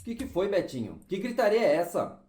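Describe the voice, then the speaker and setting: A performer playing a concerned father who reasons in a measured, slightly formal way in an audio play. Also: medium pitch, sing-song, darkish tone, reverberant, natural voice.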